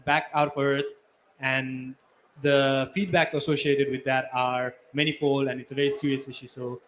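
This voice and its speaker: A man's voice: medium pitch, little pitch movement, normal speed, a bit bright, slight background noise. A man from Nepal, a climate researcher explaining earnestly on a panel. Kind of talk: spontaneous panel talk.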